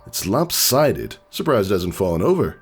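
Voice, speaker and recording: A woman voicing a terse, grumbling male pony gardener who is a stubborn perfectionist, with a low husky voice, in a storybook reading. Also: big pitch swings, moderate pace, faint music behind, full character voice. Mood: dissatisfied, stubborn. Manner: terse, clipped, preoccupied.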